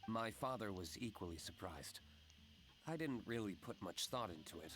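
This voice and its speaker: A man playing a composed, courteous young tavern owner in a video game, measured and reserved. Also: on the low side, lively intonation, loud music behind the voice, natural voice. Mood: reflective. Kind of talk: video game character acting.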